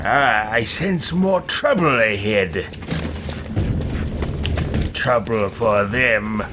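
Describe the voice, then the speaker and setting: A man voicing a power-hungry, imperious dark mage in a role-playing game with drawn-out, theatrical delivery. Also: lowish voice, over loud music. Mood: menacing.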